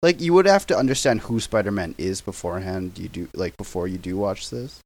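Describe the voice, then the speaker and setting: A man's voice: sits low, expressive, brisk. A young man in his twenties, a podcast guest on a video call, talking in a rambling, self-correcting way and laughing easily. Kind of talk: casual chat over video call.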